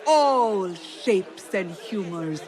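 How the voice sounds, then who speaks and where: An actress playing a proud, witty, defiant court poet in a video game, with a poetic, theatrical delivery. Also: female voice, mid-range voice, expressive, drawn-out words, a little mellow, a faint crowd. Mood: playful, teasing. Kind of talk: dramatic video game acting.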